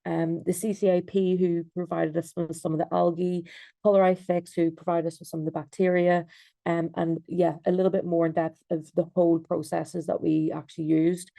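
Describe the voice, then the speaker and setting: Young Irish woman presenting on a video call; gravelly, mellow, hesitant delivery with lots of fillers. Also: on the low side, flat delivery, normal speed, no echo. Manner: hesitant, many fillers and restarts. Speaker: designer and researcher.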